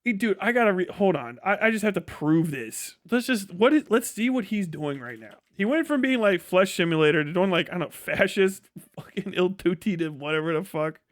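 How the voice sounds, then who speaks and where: A man's voice: high-pitched, animated, brisk, few pauses, no room sound, studio quality. An American man hosting a livestream, talking casually with blunt, opinionated, sweary commentary. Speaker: livestream host.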